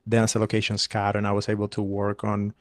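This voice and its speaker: A man's voice: lowish voice, monotone, fast talker, no room sound, clean studio sound. A man from Cuba in his thirties, a filmmaker guest on a podcast, telling his story in an earnest, enthusiastic way. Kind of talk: casual interview storytelling.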